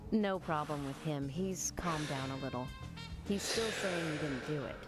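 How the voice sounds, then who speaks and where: A woman's voice: sits low, normal speed, close to an everyday voice. A woman voicing an earnest, skeptical small-town police officer in a video game cutscene, plainspoken and practical. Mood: worried. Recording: music over game dialogue. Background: music.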